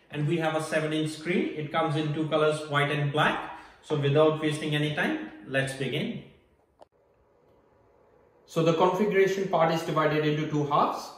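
Guy in his 30s doing a step by step setup tutorial, bit high voice, really roomy sound. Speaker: presenter of a tech setup tutorial. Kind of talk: step-by-step instructional narration. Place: small hard-floored room indoors. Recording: roomy, echoing indoor sound.

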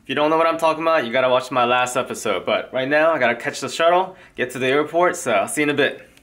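A man in his thirties from Maryland, chatting excitedly to a handheld camera in a casual travel vlog. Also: medium pitch, quick pace. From Maryland, USA. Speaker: vlogger. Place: outdoors at night and airports. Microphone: handheld camera at arm's length.

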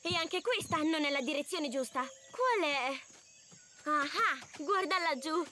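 High-voiced, brave little leopard cub. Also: female voice, unhurried, pushed and bright, slight background noise. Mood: thoughtful. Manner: earnest, urgent, headstrong. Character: brave, determined young male leopard cub. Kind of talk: animated children's cartoon acting. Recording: music under the voice.

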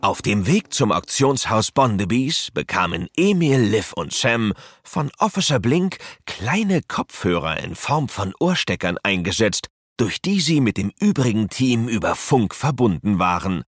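A man narrating a scripted children's audio drama with a husky voice. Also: fairly low pitch, very animated, unhurried, no room sound, studio quality.

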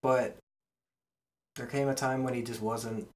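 A man's voice: mid-range voice, steady tone, a little slow. A man hosting a wrestling podcast, chatting casually and informally with co-hosts. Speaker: podcast host.

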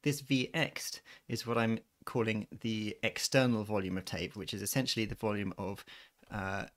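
A man giving an informal physics lesson, working through a derivation in a measured, methodical way. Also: lowish voice, steady tone, fairly bright tone. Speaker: physics tutor. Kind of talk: informal maths lecture, explaining a worked derivation. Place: voice-over on a handwritten screen recording.

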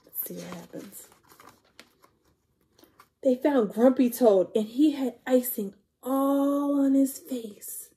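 High-pitched woman reading to children, slightly husky. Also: slow, pauses often. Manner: expressive, asking questions and counting along with the listeners. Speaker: storytime reader. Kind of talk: animated picture-book read-aloud for young children.

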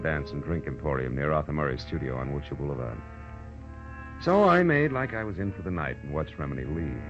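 A man playing a wry, tough American private detective in a 1940s-style radio drama, wisecracking as he narrates his case. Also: extremely low pitch. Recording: dull old radio recording with music.

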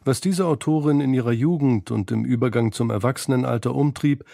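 A man narrating a scripted radio documentary in a measured, analytical manner, close to a studio microphone. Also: mid-range voice, vocal fry, warm tone, dry recording. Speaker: feature narrator.

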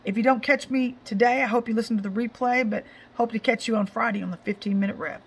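Middle-aged woman, ministry host. Raspy, slightly high voice, emphatic and informal, hammering phrases by repetition. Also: steady tone, fast talker. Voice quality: scratchy. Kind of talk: informal devotional exhortation.

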